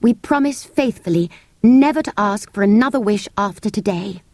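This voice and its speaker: A woman voicing an earnest, bold young girl in a storybook, with an eager, coaxing and gentle manner. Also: mid-range voice, animated, normal speed, no echo, clean studio sound, voice pushed up, lightly acted. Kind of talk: storybook character voice.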